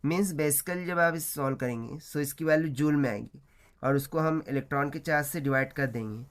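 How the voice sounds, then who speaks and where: A man's voice: fairly high pitch, normal speed. A man with an Indian accent working through a physics problem step by step as a tutor, explaining as he mixes Hindi and English.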